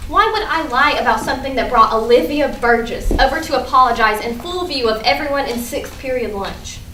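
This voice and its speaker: A woman acting a proud, blunt, guarded high school girl with an emphatic, dry manner in a staged play reading. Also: brisk, lightly acted. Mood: scornful. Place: indoor room at a staged reading. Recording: roomy, distant mic, faint noise.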